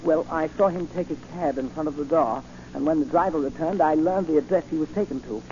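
An actor playing a nervous, deferential manservant, formal and polite, in a 1940s radio drama. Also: male voice, on the high side, brisk, mellow, lightly acted. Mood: flustered. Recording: muffled, hissy 1940 radio recording.

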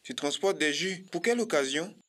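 A man's voice: on the high side, lively intonation, a little fast, fairly bright tone. A man voicing a lonely giraffe uncle in a cartoon, mournful and left out. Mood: curious. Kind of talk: cartoon voice acting with sung refrain.

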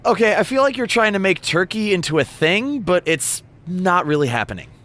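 A man's voice: high voice, sing-song, fairly quick, a bit bright. An actor playing a chatty, patient podcast host in a video game, a wryly exasperated straight man.

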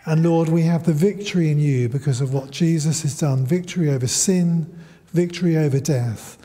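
A man's voice: fairly high pitch, moderate pace, warm tone, big-room sound. A man leading a spoken prayer in a church, earnest and reverent, at a lectern mic. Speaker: prayer leader. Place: large church hall.

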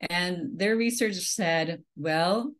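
A woman from Minnesota walks through her slides on a video call, her voice a touch low for a woman. She talks in a relaxed, conversational way, full of "um"s and restarted phrases. The sound is plain webcam audio from a small room. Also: takes it slowly. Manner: conversational, many fillers and restarts. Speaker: beekeeping presenter.

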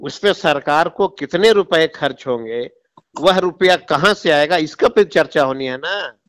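A man's voice: a little high, animated, a little slow, fairly bright tone. A man, a government official on a phone call, lecturing in an impatient, condescending way. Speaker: government minister taking a call. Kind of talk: phone conversation. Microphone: telephone call recording.

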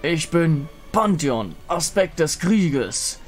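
A man's voice: on the high side, expressive, soft background music. Man as a ponderous, imposing war god. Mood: imperious. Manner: very slow, portentous. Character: solemn male war god, imposing, defiant.

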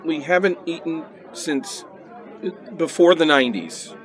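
A man's voice: a little high, unhurried, a faint crowd, close to an everyday voice. A man playing an exasperated, sarcastic onlooker, snarky and incredulous, in a satirical radio play.

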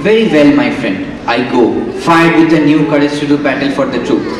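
A man's voice: fairly high pitch, normal speed, close to an everyday voice. A young man playing a friendly, obliging male painter on a school stage, speaking in a formal, theatrical way. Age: young adult. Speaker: student actor. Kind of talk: stage acting in a school play. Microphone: far stage mic. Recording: echoey hall from far off, noise.

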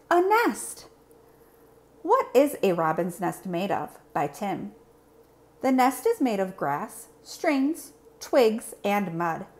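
Woman, children's storyteller, very varied intonation, unhurried pace, expressive read-aloud. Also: medium pitch. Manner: clear, simple, expressive delivery for young children.

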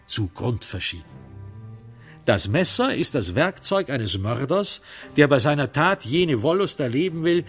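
A man playing the wry, sombre narrator of a crime radio drama, telling it in measured literary prose with dramatic emphasis. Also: mid-range voice, natural voice.

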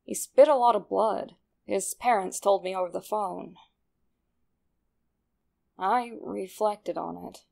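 A woman plays a cold, self-satisfied young killer in a horror audio story. The delivery is sinister and slow, with plenty of creak in the voice, as each journal entry is savored. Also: mid-range voice, a little mellow. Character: smug, cold young male killer writing his journal.

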